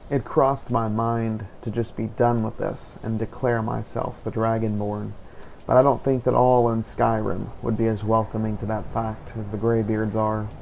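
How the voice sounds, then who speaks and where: A man's voice: on the low side, little pitch movement, moderate pace, gravelly, a little mellow, a little hiss or hum, rough recording. A man narrating his fantasy game adventure in character, like a scripted journal. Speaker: gaming channel host. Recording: faint background ambience.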